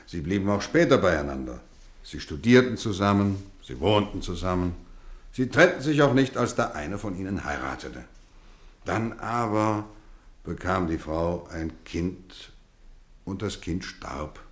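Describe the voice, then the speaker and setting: A middle-aged man playing a kindly but strict boarding-school housemaster in a 1950s radio play, talking warmly with measured, gently teasing phrasing. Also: low voice. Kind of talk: 1950s radio drama acting. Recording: roomy studio echo.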